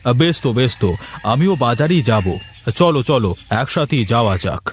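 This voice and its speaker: Over clear background noise, a man plays an anxious village farmer husband in a cartoon. He is eager to please and hesitant, doubling up his agreeable words at a mid pitch. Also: animated, a little fast, lightly acted. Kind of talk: Bengali moral-story cartoon dubbing.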